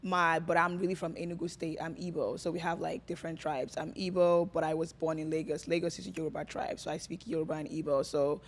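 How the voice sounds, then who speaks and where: A woman's voice: sits low, flat delivery, fast, hardly any pauses. A woman from Nigeria, a guest in a casual podcast interview, talking in a rambling, chatty way full of fillers. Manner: chatty, rambling, filler-heavy, laughs often.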